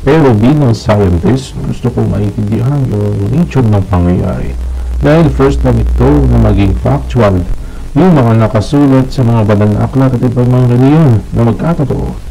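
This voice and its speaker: A man performs an earnest scientist who has come to believe, as if interviewed for the news. His voice is mellow and raspy with plenty of fry, over faint music. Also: medium pitch, moderate pace, lightly acted, rough recording. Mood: earnest, convinced.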